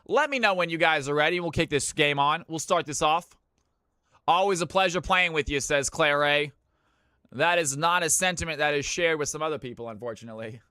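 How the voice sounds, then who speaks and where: Bright, crisp-voiced male streamer. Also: on the high side, a little fast, no room sound, clean studio sound. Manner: hype announcer bursts between easygoing chat. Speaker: streamer hosting viewer games. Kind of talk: casual live-stream chat.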